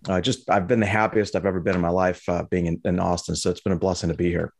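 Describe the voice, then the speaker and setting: Middle-aged man from Lebanon, interview guest, somewhat low voice, dry close mic, halting restarts. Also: level tone, creaky, slightly rough, no echo. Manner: halting, many restarts and fillers. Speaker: guest, trading educator. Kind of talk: casual interview talk.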